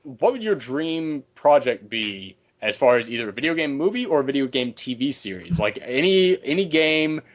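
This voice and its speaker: A man leads a casual podcast discussion in a mid-pitched voice. He is opinionated and swears casually. The audio is dull and lo-fi. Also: animated, normal speed, few pauses. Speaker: podcast host.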